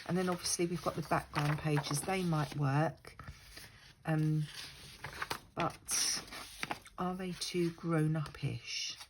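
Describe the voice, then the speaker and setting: A woman's voice: sits low, fairly even intonation, slow, pauses often, background noise. An older woman chatting casually while crafting, stuffy and congested from a lingering cold, rambling and self-deprecating. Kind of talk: casual crafting tutorial chat. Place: indoors at a craft table. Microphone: overhead camera above craft mat.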